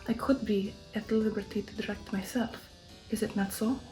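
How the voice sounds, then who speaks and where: A woman voicing a commanding, formal old count in an ASMR storybook reading, with deliberate phrasing. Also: mid-range voice, fairly even intonation, warm tone, soft background music, close to an everyday voice, rough recording. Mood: questioning. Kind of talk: ASMR audiobook character reading.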